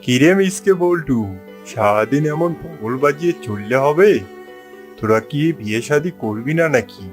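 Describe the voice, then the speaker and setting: A man's voice: mid-range voice, animated, moderate pace, soft background music, lightly acted. A man voicing a boastful animal village matchmaker in a comic cartoon, hearty and teasing like a salesman. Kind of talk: exaggerated cartoon voice acting.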